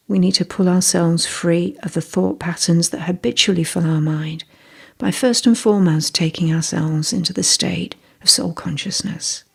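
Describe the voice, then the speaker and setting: A meditation teacher narrating a guided meditation in a slow, measured, gently instructive way, with a husky, breathy voice. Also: medium pitch. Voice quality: husky, breathy, with creaky fry.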